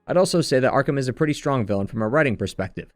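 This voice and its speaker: Guy doing earnest video essay voiceover, mid pitched, measured, soft music behind him. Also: lively intonation, no room sound, studio quality. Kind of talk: scripted narration, story retrospective. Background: background music.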